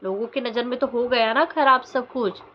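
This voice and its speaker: A woman's voice: fairly high pitch, moderate pace, muffled recording, slight background noise. A woman vlogger giving opinionated commentary to her viewers in an insistent way, with pointed rhetorical questions. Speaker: vlogger commenting on social media controversy.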